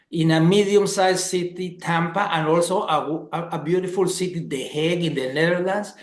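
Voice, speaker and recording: A man's voice: high voice, reverberant. A man from Colombia hosting a webinar over a video call, talking with enthusiasm and stressing points by repeating words. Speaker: webinar host.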